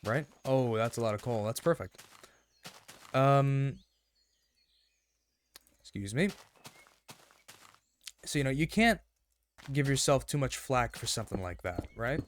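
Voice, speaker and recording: A man with a slightly raspy, rough throat giving casual, rambling gameplay commentary in an earnest way, often checking in as he goes. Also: mid-range voice, animated, measured pace, long pauses, a little hiss or hum. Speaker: gaming video host.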